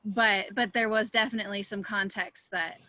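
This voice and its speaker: A woman's voice: mid-range voice, fairly even intonation, normal speed, sharp tone, dry recording. A young woman, an actress chatting casually as a panelist in an online seminar. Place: indoors, online video seminar.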